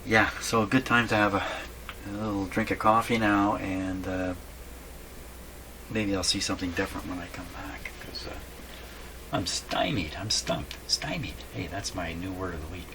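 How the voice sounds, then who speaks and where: Low-pitched man muttering over clear background noise. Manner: halting, thinking aloud. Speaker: radio repair hobbyist narrating a workshop video. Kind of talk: unscripted muttered commentary while working. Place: indoor radio repair workshop. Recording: clearly noisy workshop recording.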